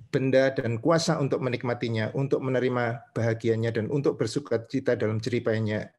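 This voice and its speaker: A male preacher with an Indonesian accent and a medium-pitched voice delivers a teaching sermon. He moves between reading verses and explaining them, building each point in short, measured phrases. He often adds small confirming tags as he goes. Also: darkish tone.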